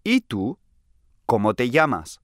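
A man playing a friendly young man in a scripted language-lesson dialogue, clearly enunciated. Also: medium pitch, sing-song, slow pace, no echo, clean studio sound. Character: friendly young man from Salamanca, aged twenty-three.

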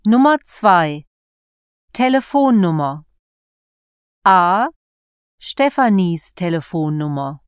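Brief: young woman, exam recording voice, slow and very clear, lively melodic pitch, dry studio. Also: no room sound. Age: 20s. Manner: slow, carefully articulated reading. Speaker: exam dialogue reader. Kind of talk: scripted language-exam dialogue.

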